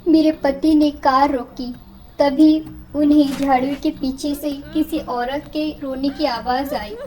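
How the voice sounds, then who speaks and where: Casting: woman to play disguised witch bride, very high voice, plaintive and pleading, unhurried, animated horror tale. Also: low-quality recording. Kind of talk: animated horror story dialogue.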